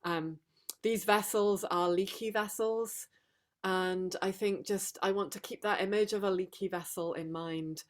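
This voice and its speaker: A middle-aged woman, hoarse from long days of talking, giving an earnest reflective talk on a video call with many ums and restarts. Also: medium pitch, fairly even intonation, measured pace. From England, Sheffield. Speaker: guest speaker.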